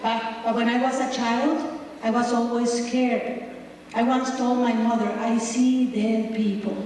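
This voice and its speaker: A woman's voice: fairly high pitch, little pitch movement, measured pace, darkish tone, slight background noise. A woman from Nicaragua with a Nicaraguan Spanish accent, performing a brash, teasing stand-up comedy set in front of a live audience. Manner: brash, teasing, confessional punchlines. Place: large hall with live audience. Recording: distant, echoey home VHS tape of a live hall.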